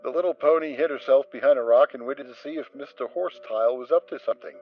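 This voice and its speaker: A man narrating a dramatic reading of a story, steady and expressive. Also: medium pitch, fast talker, narrow, tinny audio, faint music behind. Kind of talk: dramatic reading of fan fiction.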